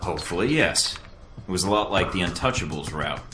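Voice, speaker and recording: Low-voiced cocky rookie agent. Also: male voice, expressive, fairly quick. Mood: cocky, sardonic. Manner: smooth, sardonic, terse. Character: cocky, laconic young male rookie secret agent. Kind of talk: video game acting. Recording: echoey room with music behind.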